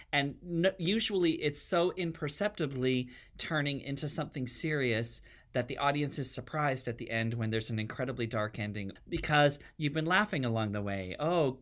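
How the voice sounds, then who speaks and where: A man's voice: on the high side, a bit bright. Earnest man in an interview. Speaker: guest, playwright and drag performer. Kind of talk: reflective interview talk.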